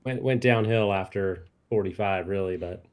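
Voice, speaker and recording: A relaxed man in his late forties talks beer on a livestream in a somewhat low voice. He drifts along casually, sprinkling in "dude" and "man.". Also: normal speed. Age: 40s. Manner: relaxed, laid-back, says "dude" and "man". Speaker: homebrewer co-host.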